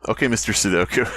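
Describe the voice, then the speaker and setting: A man's voice: on the low side, fairly bright tone. A man co-hosting a podcast in casual comedic banter, sarcastic and freely swearing. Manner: sarcastic, profane. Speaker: podcast co-host.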